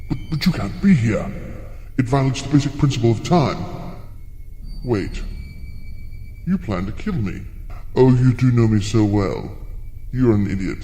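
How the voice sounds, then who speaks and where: A man's voice: medium pitch, warm tone, natural voice. Man playing a smug villain in cavernous echo. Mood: alarmed. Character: vengeful alien villain confronting his own younger self. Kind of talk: audio drama acting. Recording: very echoey hall-like reverb, faint music.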